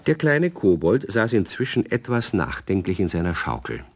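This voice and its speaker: An actor as the narrator of a children's radio play, telling the story in scripted storybook style. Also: male voice, fairly low pitch, huge pitch swings, moderate pace, full character voice. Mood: wry, storytelling.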